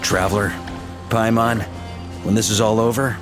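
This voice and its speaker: A man's voice: fairly low pitch, steady tone, moderate pace, music in the background, natural voice. A man playing an easygoing, confident middle-aged knight commander in a video game. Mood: casual. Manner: breezy, commanding.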